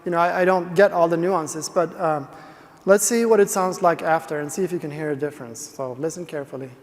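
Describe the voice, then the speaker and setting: Man presenting in a hall. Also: fairly high pitch. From Sweden. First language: Swedish. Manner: explanatory, halting with many restarts. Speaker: conference presenter, speech engineer. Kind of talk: conference talk. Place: large conference hall. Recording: long reverb of a big hall.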